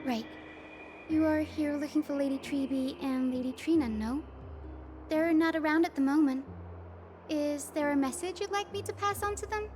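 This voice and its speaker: A woman voices an optimistic young noble heroine from a fantasy game in a high voice. She speaks with earnest, courtly politeness while music plays behind her. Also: moderate pace, a little mellow, lightly acted. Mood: courteous, helpful. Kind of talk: scripted fantasy game voice acting.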